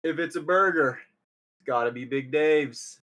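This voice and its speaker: Male ad pitchman, acted by a man at a table read, lightish higher voice, cheerful slogan delivery for spoof commercials. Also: measured pace, bright, forceful tone. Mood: upbeat pitch.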